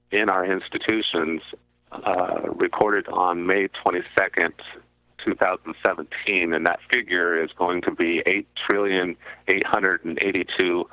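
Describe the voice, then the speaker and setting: A man speaking as a radio guest, explaining in deliberate, halting short phrases over a narrow call-in line. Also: sits low, no echo. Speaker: guest commentator on a radio show. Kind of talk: explanatory talk about finance. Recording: muffled narrow phone-like line.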